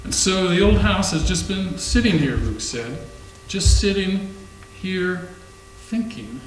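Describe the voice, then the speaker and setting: A man playing a storyteller in a staged reading, recounting a haunted house's history in a measured, somber way. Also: sits high, lively intonation. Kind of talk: staged readers theater narration. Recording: echoey room with faint hum.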